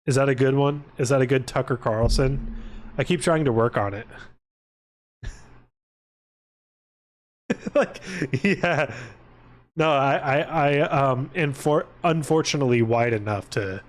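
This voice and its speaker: A man's voice: mid-range voice, unhurried, pauses often. A man live streaming, chatting casually to his viewers in an informal, rambling way with exasperated asides.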